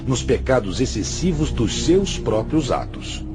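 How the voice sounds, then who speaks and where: A man's voice: mid-range voice, big pitch swings, unhurried. A man acting in a radio drama as a stern, patient spiritual master, speaking in a solemn, admonishing and didactic way. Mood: firm reproach. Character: stern, patient male spiritual master, grave moral counselor. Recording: music under the voice.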